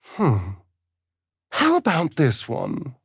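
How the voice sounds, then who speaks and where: A man with a British accent and a breathy voice playing a vain, sardonic, flirtatious vampire spawn in a video game, with an arch, mocking drawl. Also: fairly high pitch, huge pitch swings, drawn-out words, no echo, clean studio sound, heavily acted. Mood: pondering, irritated. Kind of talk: theatrical video game character acting.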